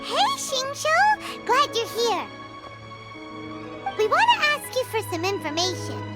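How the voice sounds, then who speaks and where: Super high squeaky little game fairy, excitable n childlike, chatty, music loud behind. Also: female voice, slow pace, heavily acted. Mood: curious, chirpy. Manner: exclaims, speaks of herself in third person. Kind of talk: animated video game character acting.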